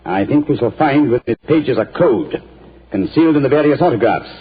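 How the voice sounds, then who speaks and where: A cool, incisive detective who talks quickly with lively, shifting pitch and a husky edge. He is assured and a little condescending. The sound is thin and lo-fi, like an old radio broadcast. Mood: explaining. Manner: crisp, assured, faintly condescending. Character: cool, incisive male detective, middle-aged. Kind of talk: 1940s radio acting.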